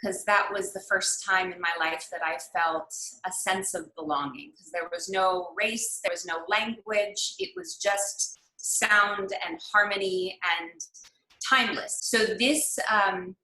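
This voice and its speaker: A woman raised in the United States leads an online master class over a video call, speaking in a reflective, searching way with frequent pauses and fillers. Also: medium pitch, bright, forceful tone. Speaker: workshop leader. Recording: echoey room.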